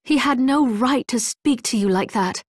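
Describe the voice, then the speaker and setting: A woman playing a courteous, loyal, gentle ally in a video game, with polite, formal speech. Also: a little high, moderate pace, no echo, studio quality, lightly acted. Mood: earnest. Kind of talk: video game character acting.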